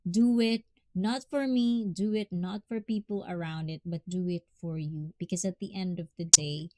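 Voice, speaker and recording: A woman's voice: fairly low pitch, steady tone, moderate pace, dry recording. A woman with a Filipino accent hosting a casual livestream, chatting with viewers, laughing often and giving heartfelt advice. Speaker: livestream host.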